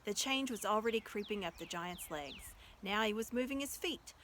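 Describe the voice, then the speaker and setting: A woman reading a children's story aloud as an expressive storyteller. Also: medium pitch, normal speed, a little hiss or hum.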